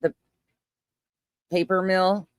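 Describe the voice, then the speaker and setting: A woman's voice: fairly low pitch, level tone, slow pace, no echo, clean studio sound. A woman giving casual reaction commentary in a blunt, sarcastic, combative way with plenty of swearing. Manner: scornful, combative. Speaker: commentator reacting to another person's clip.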